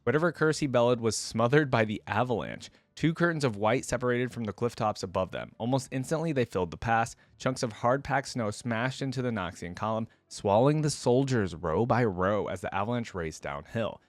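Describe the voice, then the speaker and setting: A man's voice: medium pitch, expressive, a little fast, few pauses, no room sound. A man talking casually as a video creator, moving between chatty reaction commentary and reading a story aloud.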